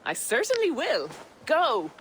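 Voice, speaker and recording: A cheeky, teasing Irish bow-maker for a video game. Her voice is slightly high and swings up and down dramatically, bold and full of challenge. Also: wildly swooping pitch, unhurried, noisy background, heavily acted. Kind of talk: game voice acting.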